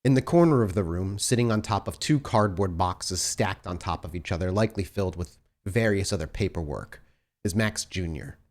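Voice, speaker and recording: Low-voiced man narrating as game master. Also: moderate pace, darkish tone. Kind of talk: cinematic improvised narration of a tabletop game.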